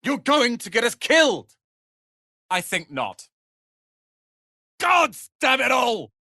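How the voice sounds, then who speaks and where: A man voicing a proud, sharp-tongued young tiefling wizard's apprentice in a video game, with haughty, theatrical, biting delivery. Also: very high voice, sing-song, slow pace, dry recording, studio quality, full character voice. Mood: angry, indignant. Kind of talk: dramatic video game voice acting.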